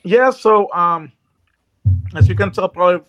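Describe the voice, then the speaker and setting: High-voiced man with a Puerto Rican accent. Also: unhurried, fairly bright tone, faint music behind. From Puerto Rico. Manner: earnest, rambling, frequent restarts. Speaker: guest. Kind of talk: casual chat.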